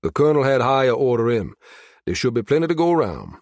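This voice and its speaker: A man voicing a hearty, easygoing soldier in an audiobook, speaking louder and cheerfully. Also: medium pitch, sing-song, fast talker, no echo, studio quality.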